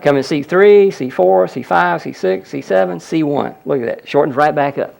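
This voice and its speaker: A man's voice: a little high, moderate pace, vocal fry, darkish tone. A man presenting a healing seminar, explaining a live demonstration to an audience and repeatedly pointing things out. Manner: explanatory, audience-addressing, frequent "look at that".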